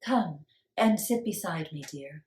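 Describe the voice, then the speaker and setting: A woman voicing a gentle, wise woman neighbour in a children's storybook, speaking softly and soothingly. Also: low voice, lively intonation, reverberant, slight background noise, pitched below the performer's own voice. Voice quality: soft, hushed. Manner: soothing, slow, deliberate.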